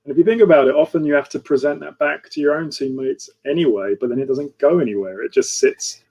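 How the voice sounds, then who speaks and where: A man's voice: a little high, fast, warm tone. A man moderating an online fireside chat over a video call, conversational and often ending his points with "right?".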